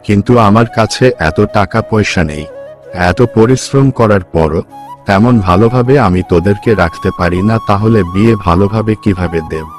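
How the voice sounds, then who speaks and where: A man's voice: on the low side, a little mellow, natural voice. A man voicing a devoted, poor village father in a cartoon folk tale, earnest and heavy-hearted.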